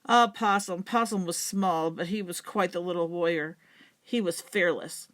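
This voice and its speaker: A woman reading a children's folktale as a storyteller, with dramatic, deliberate emphasis. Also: lowish voice, fairly bright tone. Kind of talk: expressive children's folktale narration.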